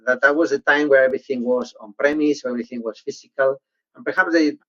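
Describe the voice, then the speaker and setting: A man from Spain with a Spanish accent, speaking conversationally as a panelist and haltingly searching for words. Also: fairly bright tone. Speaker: panelist, banking technology executive. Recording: muffled low-fidelity online call audio.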